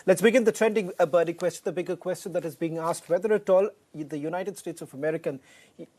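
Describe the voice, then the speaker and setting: A man's voice: high-pitched, fast talker, darkish tone. A man with an Indian accent anchoring live television news, speaking in emphatic, formal phrasing.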